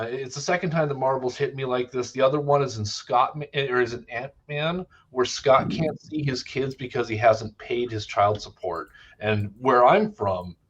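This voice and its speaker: A man in a casual panel debate, speaking bluntly and emphatically with some swearing. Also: mid-range voice. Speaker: discussion panelist. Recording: slightly dull lo-fi audio.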